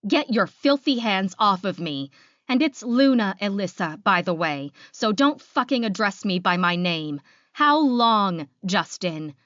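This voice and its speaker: A woman voicing an angry, proud female werewolf leader, sharp and confrontational, in an audiobook. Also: mid-range voice, expressive, a little slow, no echo, highs cut off. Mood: furious.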